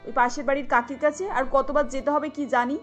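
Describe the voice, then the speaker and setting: A woman's voice: high voice, fairly even intonation, brisk, soft background music, lightly acted. A woman voicing a fretful, complaining young village daughter-in-law in a cartoon. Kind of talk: cartoon dialogue.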